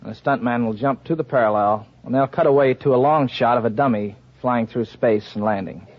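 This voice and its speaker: A man's voice: mid-range voice, brisk. A man from Illinois with an American accent, answering interview questions plainly and literally as a quiz show contestant. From Illinois, United States. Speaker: contestant, stuntman. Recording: muffled vintage radio recording.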